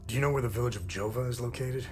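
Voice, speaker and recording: A man's voice: medium pitch, very fast, faint music behind, natural voice. An actor playing a terse, matter-of-fact traveller in a video game, a man asking direct questions. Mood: curious, matter-of-fact. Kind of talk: video game dialogue acting.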